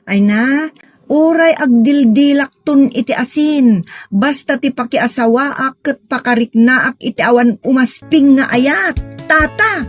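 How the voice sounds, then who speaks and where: A woman's voice: high voice, a little slow, faint music behind. A woman playing an emotional, lovelorn young daughter with a plaintive manner in a sentimental audio drama. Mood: firm.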